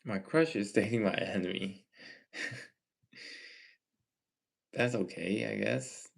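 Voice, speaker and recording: A young man from Malaysia hosting a casual livestream, reading viewer comments and giving earnest advice in a slow, halting way. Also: lowish voice, creaky. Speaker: livestream host.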